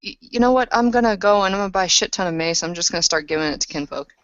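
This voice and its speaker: A woman's voice: lowish voice, lively intonation, rapid-fire, dry recording, dull audio. A woman roleplaying in a tabletop game, talking casually and bluntly with plenty of swearing. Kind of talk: in-character roleplay chat.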